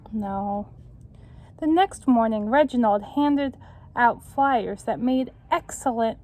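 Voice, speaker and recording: A woman tutor reading a children's book aloud in an instructive way, pausing to put questions to young listeners. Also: a little high, a little mellow, rough recording.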